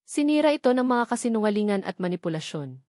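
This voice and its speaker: A woman's voice: mid-range voice, sing-song, fast talker, mellow, dry recording, studio quality, close to an everyday voice. A Filipino-accented woman voicing a bitter, determined adult son confronting his father in a storybook drama, firm and accusing.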